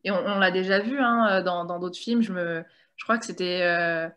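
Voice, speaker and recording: A woman's voice: fairly low pitch, moderate pace, fairly bright tone. Woman, moderator, panel talk, friendly, reads questions aloud. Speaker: moderator, journalist. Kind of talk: moderating an online panel, reading audience questions.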